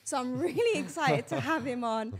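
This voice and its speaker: Woman host, fairly high voice, chatty and curious interviewer. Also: moderate pace, over a noisy crowd. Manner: friendly, chatty, asks probing questions. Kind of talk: casual interview.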